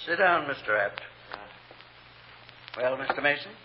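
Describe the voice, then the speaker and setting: An actor playing a calm, patient judge, measured and mildly commanding, in an old radio courtroom drama. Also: male voice, mid-range voice, lightly acted. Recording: muffled, noisy old radio recording.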